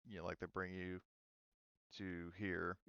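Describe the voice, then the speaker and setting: A man running a tabletop roleplaying game as game master in casual talk, thinking aloud with many pauses. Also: low-pitched, flat delivery, fairly bright tone, clear, resonant tone, no room sound, highs cut off.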